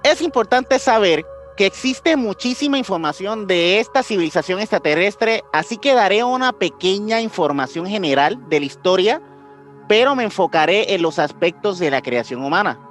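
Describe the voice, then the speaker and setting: A man's voice: high-pitched, animated, normal speed, a bit bright. A man with a Puerto Rican accent hosting a podcast, explaining things informally with slang and repeated phrases. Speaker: podcast host. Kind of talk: casual podcast talk with explanations. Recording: music playing behind the voice. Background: music.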